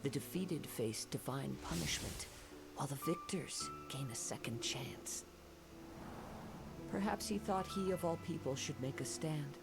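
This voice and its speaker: Creaky, soft-spoken wandering samurai. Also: male voice, fairly high pitch. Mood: solemn, wistful. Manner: measured, poetic, formal phrasing. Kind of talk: video game character dialogue. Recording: game audio under loud music.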